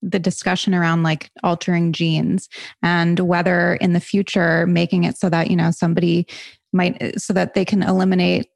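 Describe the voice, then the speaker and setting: A woman with a low, gravelly voice hosting a podcast interview. She talks in a relaxed, conversational way and fills her sentences with "like" and "you know." The sound is dry and close. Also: moderate pace, dry recording. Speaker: podcast host. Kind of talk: casual interview chat.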